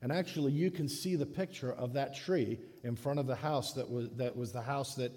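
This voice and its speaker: A man from the Dominican Republic preaching a sermon in a church, halting often and restarting his phrases. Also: mid-range voice, dark tone. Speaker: guest preacher. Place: church sanctuary. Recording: echoey church hall.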